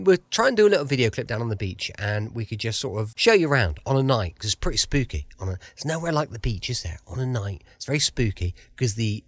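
A man's voice: mid-range voice, no room sound, clean studio sound. An English man hosting a podcast from home, chatting casually and informally in a rambling way. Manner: informal, repeating himself. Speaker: podcast host. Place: at home, close dry recording.

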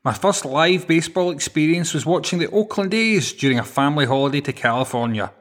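A man's voice: fairly high pitch, expressive, fairly quick. A man with a Glaswegian Scottish accent, hosting a podcast with scripted introductions. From Glasgow, Scotland. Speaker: podcast host.

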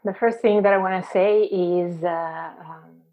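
A woman's voice: on the low side, fairly even intonation, a little slow, phone-quality sound. A woman with an Italian accent, a podcast guest who talks in a reflective way and laughs often. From Italy. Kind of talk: conversational podcast interview.

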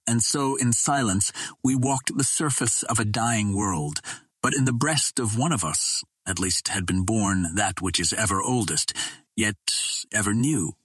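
Low, husky male audiobook narrator. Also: measured pace, a bit bright, no echo. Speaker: audiobook reader. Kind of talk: scripted first-person narration of an adventure novel.

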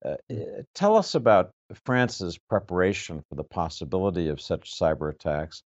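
A man with a deep, low voice interviews a guest in a formal register. His phrasing is measured and hesitant, and the audio is dull and lo-fi. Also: lively intonation, a little mellow. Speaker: interviewer, moderator.

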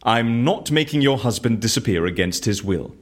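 A woman's voice: extremely low pitch, animated, normal speed. An actress playing a wry, officious woman who runs a secret service, formal and businesslike, in an audio drama. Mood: cool, dismissive.

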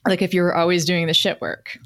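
A woman's voice: lowish voice, steady tone, a little fast, fairly bright tone. A middle-aged woman telling a personal story conversationally as an interview guest, reflective and self-deprecating, often checking in with "right?".

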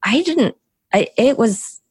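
Slow, reflective woman in a dry room. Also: a little high, lively intonation, breathy edge, no room sound. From Chicago area, US. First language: Korean. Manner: reflective, measured, with pauses and restarts. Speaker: guest. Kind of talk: personal storytelling in a podcast interview.

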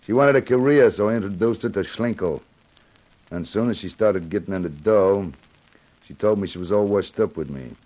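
Low-voiced, menacing gangster boss played by a man. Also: normal speed, darkish tone, lightly acted, low-quality recording. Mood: grim, blunt. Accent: American. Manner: curt, slangy tough-guy talk. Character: menacing hard-boiled racketeer, tough and impatient gangster boss. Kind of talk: 1950s radio crime drama acting.

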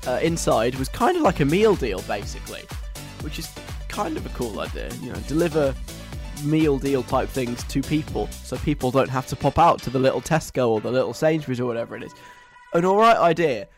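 A man's voice: medium pitch, lively intonation, a little fast, soft background music. A young British man hosting a radio show in a conversational, wry, chatty style.

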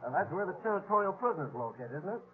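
Talkative eastern traveller in a radio western: American, courteous, curious, hesitant, fast and lively, muffled old recording. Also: male voice, sits high. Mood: eager, curious. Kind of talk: 1950s radio drama acting. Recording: muffled, faintly noisy vintage radio recording.

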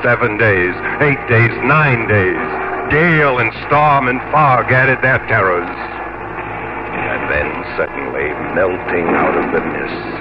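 An actor playing a brave English sea captain, with earnest, dramatic delivery in an old radio drama. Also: male voice, fairly low pitch, expressive, takes it slowly, background noise. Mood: solemn narration. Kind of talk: old-time radio drama acting and narration. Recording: muffled 1950s radio with loud music.

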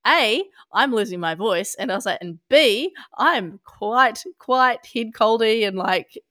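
A young adult woman from Australia co-hosting a podcast, talking casually with a husky voice from a head cold and many fillers. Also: lively intonation, measured pace, sharp tone, no room sound, clean studio sound. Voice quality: husky, deep. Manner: chatty, laughs a lot, many fillers like "hey". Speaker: podcast co-host. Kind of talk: casual chat.

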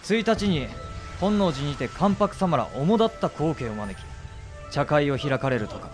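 A man's voice: a little high, sing-song, moderate pace, soft background music. A man playing a deferential tea-master merchant, speaking with polite, humble formality in a period drama. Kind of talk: Japanese period drama acting.

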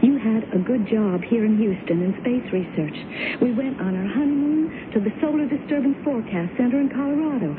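An indignant, sharp-tongued wife, acted by a woman for radio drama. Her high voice is reproachful and no-nonsense. The old broadcast sounds thin and noisy. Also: a little fast, phone-quality sound, close to an everyday voice. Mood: exasperated. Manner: reproachful, sardonic.

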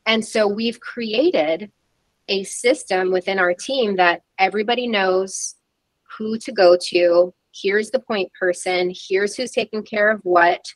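A woman guest on an interview podcast, reflective and candid, often pausing with "um" and restarting her sentences. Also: medium pitch, dry recording, poor audio. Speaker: guest, PR agency founder.

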